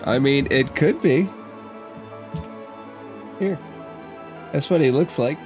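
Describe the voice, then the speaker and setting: A man's voice: medium pitch, slow, darkish tone, faint music behind. A man casually narrating a tabletop game as game master and asking players what they do.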